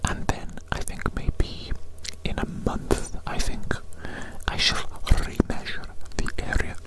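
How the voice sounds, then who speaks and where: A fussy, doting creature played by an ASMR performer whispers through an examination in an ASMR roleplay. The delivery is breathy and unvoiced, with mock-stern scolding and phrases repeated over and over. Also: slow, close to an everyday voice, rough recording.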